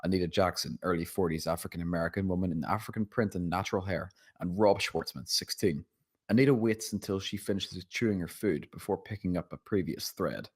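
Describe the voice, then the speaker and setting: A man's voice: sits low, fairly quick, breathy edge. A man reading stage directions as narrator at a screenplay table read.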